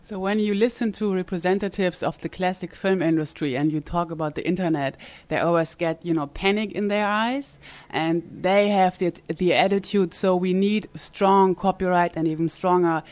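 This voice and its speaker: A woman's voice: lowish voice, moderate pace, hardly any pauses, darkish tone, dry recording. A woman hosting a podcast interview, asking questions in a hesitant way with frequent fillers. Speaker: podcast host. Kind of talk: conversational interview. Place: conference summit venue.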